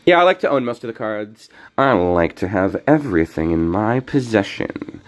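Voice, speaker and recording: A young man streaming a card game, talking casually and rambling with many restarts, his voice slightly hoarse. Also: lowish voice, sing-song, moderate pace. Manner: rambling, self-correcting. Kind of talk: casual gameplay commentary.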